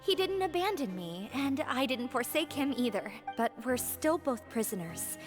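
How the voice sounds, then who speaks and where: A courteous young woman prisoner in a game, earnest and hopeful. Her voice is high and lilting, with clear music underneath. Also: very animated, normal speed. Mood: earnest, hesitant. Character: polite, hopeful young female prisoner, devoted bride-to-be. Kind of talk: video game voice acting.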